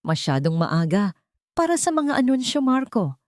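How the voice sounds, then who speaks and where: A woman's voice: fairly high pitch, big pitch swings, normal speed, no room sound, voice pushed up. Woman doing a wronged wife wanting revenge, cold and in control, audio drama style, dry sound. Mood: cold defiance. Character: wronged wife, coldly vengeful and commanding. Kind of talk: audio story character acting.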